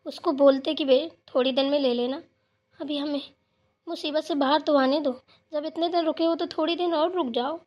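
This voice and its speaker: A woman's voice: high voice, steady tone, normal speed, muffled recording, close to an everyday voice. A woman voicing a grumbling but caring elderly village mother-in-law in a colloquial, fussing Hindi cartoon family drama. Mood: concerned, motherly.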